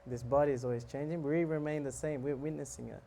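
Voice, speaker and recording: A man giving a relaxed, joking talk on scripture to a live audience, often asking them questions. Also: mid-range voice, fast, vocal fry, a little hiss or hum. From South Africa. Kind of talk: casual sermon to a live audience.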